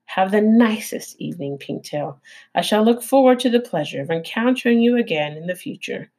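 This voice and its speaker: A woman voicing a polite female robot who speaks in a stiff, overly formal and precise way, with a husky voice. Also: mid-range voice, expressive, brisk, darkish tone, voice pushed up.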